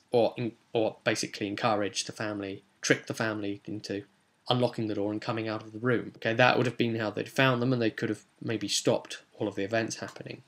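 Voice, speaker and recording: A man's voice: medium pitch, fairly bright tone. A man with a British accent casually recounting a tabletop role-playing session as game master, chatty and explanatory, with asides to the viewer.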